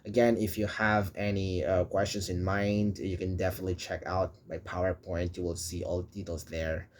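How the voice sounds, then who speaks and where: A young man from the Philippines with a Filipino accent, speaking English as a second language and explaining a school topic in a hesitant way with many pauses and restarts. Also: sits low, little pitch movement, a little hiss or hum. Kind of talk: informal explainer video.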